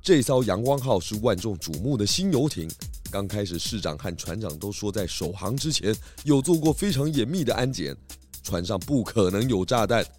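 A man playing a confident, clever detective in a children's radio drama, explaining his case deliberately. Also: fairly low pitch, sing-song, a little mellow, soft background music. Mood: accusing. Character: confident, clever male detective unmasking culprits.

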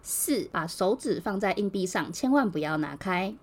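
A woman hosts a podcast in a medium-pitched voice. She reads scripted explanations aloud, point by point. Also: expressive, normal speed, a little mellow. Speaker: podcast host. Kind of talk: scripted explainer, reading a numbered list.

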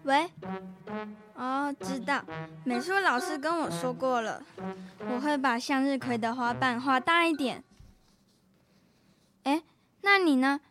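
A woman's voice: high-pitched, slow, pauses often, music in the background. A girl acting an indignant, proud young big sister in a radio drama. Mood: busy, concerned. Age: child.

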